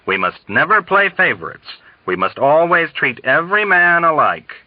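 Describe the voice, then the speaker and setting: A man's voice: a little high, big pitch swings, moderate pace, no room sound, narrow, tinny audio, pitched above the performer's own voice. A man voicing a blustering, scheming military colonel in an audiobook, exclamatory and pompous. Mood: shocked, sententious. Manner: exclamatory, sententious, emphatic. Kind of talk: dramatized audiobook reading.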